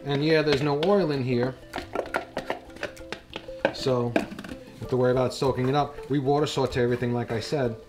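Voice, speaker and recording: A man's voice: medium pitch, fairly even intonation, moderate pace, long pauses. A middle-aged man hosting a home cooking demonstration in a casual, chatty way with wisecracking asides. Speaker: home cooking show host. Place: home kitchen indoors. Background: guitar music.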